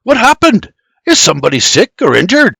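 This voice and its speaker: A man voicing a blustering, excitable frontier homesteader in an audiobook story. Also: high voice, fairly quick, gravelly, breathy edge, no room sound, clean studio sound, voice pushed up, exaggerated voice. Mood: startled, questioning. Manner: blustering, exclamatory.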